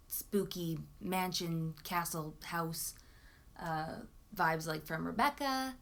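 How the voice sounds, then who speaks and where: Expressive young woman chatting casually. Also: on the low side. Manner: expressive, informal, self-correcting. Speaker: book vlogger. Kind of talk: casual chat to camera about books.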